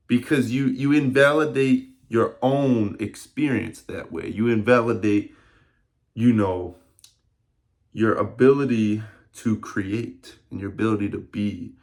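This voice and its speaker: A man in his early twenties talking casually to camera in a rambling, reflective vlog with many fillers. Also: fairly low pitch. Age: 20s. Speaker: fitness vlogger.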